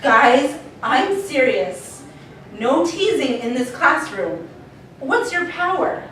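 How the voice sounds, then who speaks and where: A woman's voice: sits high, takes it slowly, a little hiss or hum, full character voice. A woman playing a bubbly, easily exasperated teacher of young superheroes in a live comedy read. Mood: stern. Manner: expressive, patronizing, exaggerated sighing exasperation. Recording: very echoey convention hall, distant mic.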